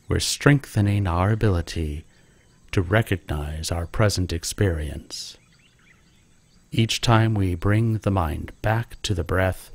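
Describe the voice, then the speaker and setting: Low, slow male meditation guide. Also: no room sound. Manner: gentle, calm instruction.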